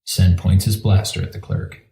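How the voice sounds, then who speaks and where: A man's voice: low voice, flat delivery, moderate pace, creaky, mellow. A man reading scripted scene directions as the narrator of an audio drama, with a roomy echo.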